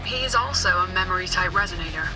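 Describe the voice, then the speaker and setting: A woman voicing a calm, encouraging academy leader in a video game, measured and reassuring as she guides. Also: lowish voice, over loud music, close to an everyday voice, poor audio. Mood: reassuring, thoughtful.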